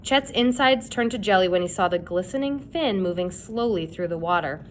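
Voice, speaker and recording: A woman's voice: expressive, moderate pace. Woman reading dramatically over background noise. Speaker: storybook reader. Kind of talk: children's chapter book read-aloud, scripted narration. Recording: clear background noise with rumble.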